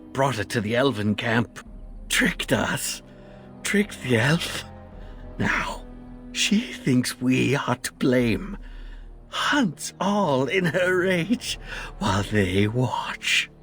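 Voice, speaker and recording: An actor playing a dying, traumatized male survivor in a fantasy video game, speaking in broken, agonized, halting phrases. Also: medium pitch, fairly bright tone, exaggerated voice. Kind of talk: video game character acting.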